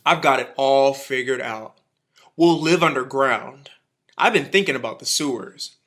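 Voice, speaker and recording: A man's voice: mid-range voice, measured pace, fairly bright tone, close to an everyday voice. An actor playing an embittered, zealous militiaman who survived an alien invasion, in a radio drama, speaking in emphatic, folksy, clipped phrases. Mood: fervent, scheming.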